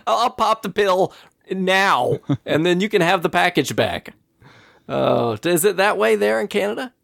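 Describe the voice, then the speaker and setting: A man hosting a casual podcast, nasal and scratchy from a cold. His pitch swings a lot as he tells a story, and his voice has a gravelly edge. The recording is dry and close. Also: measured pace, dry recording. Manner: chatty storyteller, laughs often, animated retelling. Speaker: podcast host.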